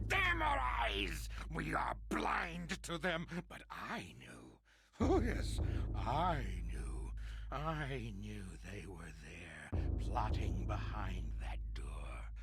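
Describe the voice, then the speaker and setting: A deranged, paranoid murderer from a video game, confesses in slow, deliberate speech. His voice lurches widely between hushed whispering and unhinged wailing, theatrical throughout. Clear noise runs under the recording. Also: mid-range voice, exaggerated voice. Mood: bitter, agitated, then secretive, menacing. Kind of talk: dramatic video game monologue.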